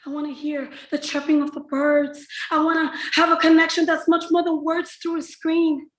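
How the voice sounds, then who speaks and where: A woman's voice: high voice, level tone, moderate pace. An actress performing a rhymed spoken-word monologue with dramatic, pleading delivery, a woman in a roomy, echoing recording.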